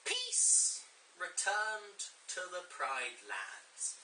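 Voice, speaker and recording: A man's voice: sits high, wildly swooping pitch, very slow, pushed and bright. A man reads a children's storybook aloud to friends in a playful, dramatic, jokey way. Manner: dramatic, jokey, mock-teacherly asides. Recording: echoey room, distant mic, faint noise.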